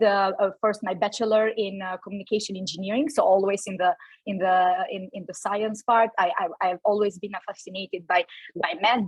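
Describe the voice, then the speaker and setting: A woman's voice: medium pitch, slightly rough, dry recording, rough recording. A young woman from Albania, giving a personal talk at a company event, with many fillers and restarts. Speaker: invited speaker.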